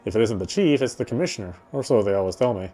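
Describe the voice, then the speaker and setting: A man's voice: lowish voice, animated, rapid-fire, warm tone, faint music behind. A man reading first-person visual novel narration aloud in a conversational way, now and then stumbling and repeating himself.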